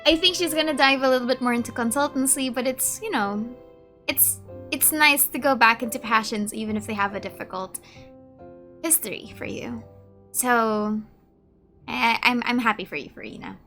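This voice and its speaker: Girl streamer doing casual game commentary, highish voice, gushy, repeats herself, music pretty loud behind her. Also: moderate pace. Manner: effusive, informal, repeats phrases. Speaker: gameplay video host.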